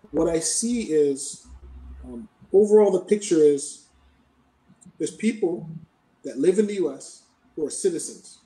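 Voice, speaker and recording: Man from Canada, measured explainer, mellow tone, echoey room, distant from mic. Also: fairly high pitch. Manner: measured, explanatory, with frequent "right?" tags. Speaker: podcast guest. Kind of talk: thoughtful interview answer.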